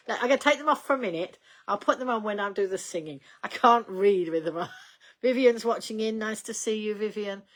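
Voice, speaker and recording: An older woman hosting a casual livestream, rambling in a chatty way with many ums and small laughs, her voice going slightly croaky. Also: medium pitch. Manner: chatty, rambling, reads out viewer comments, frequent ums and laughs. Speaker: livestream host.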